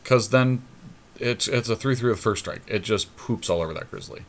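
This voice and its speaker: A man co-hosting a podcast, casually commentating on a game and thinking out loud as he reasons through each move. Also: medium pitch, moderate pace, slight background noise. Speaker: podcast co-host.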